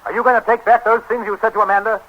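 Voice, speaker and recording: An actor playing an indignant, stuffy English husband with a blustering, pompous manner, in a 1930s radio comedy style. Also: male voice, high voice, little pitch movement, very fast. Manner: blustering, pompous, stammering when flustered. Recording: noisy dull 1930s radio broadcast.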